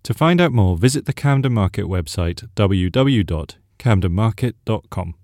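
A man's voice: lowish voice, animated, normal speed, darkish tone, dry recording, clean studio sound. A man presenting scripted local event announcements on community radio.

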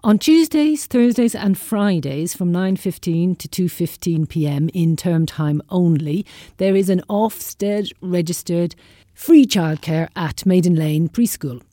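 A woman's voice: lowish voice, measured pace, warm tone, dry recording, studio quality. A woman presenting scripted local event announcements on community radio.

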